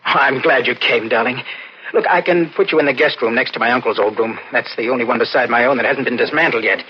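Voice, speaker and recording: An actor playing a guilt-ridden, nervous young man in an old radio drama, with a halting, intense delivery. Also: mid-range voice, noisy background, lightly acted. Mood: uneasy, evasive. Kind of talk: 1940s radio drama acting. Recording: muffled old radio recording, loud music.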